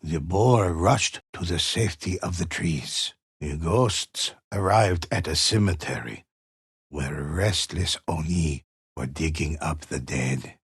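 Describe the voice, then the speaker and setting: Deep, gravelly old storyteller. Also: male voice, no room sound, studio quality, exaggerated voice. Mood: grave, ominous. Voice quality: gravelly, husky. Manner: slow, solemn, measured, ominous. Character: grave old male storyteller of samurai ghost legends. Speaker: narrator. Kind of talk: dramatic scripted storytelling for a video game.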